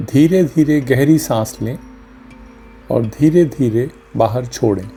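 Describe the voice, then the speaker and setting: A man leading a guided meditation as a doctor, speaking gently and calmly in short phrases with long pauses. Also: mid-range voice, vocal fry. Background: music under the voice.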